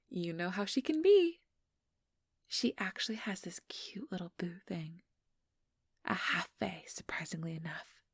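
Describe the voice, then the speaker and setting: A woman voicing an ambitious, teasing vampire in an ASMR roleplay, breathy and whispery, with a sugary, mocking condescension. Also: mid-range voice, dry recording, studio quality. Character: ambitious, teasing female vampire, smugly scheming.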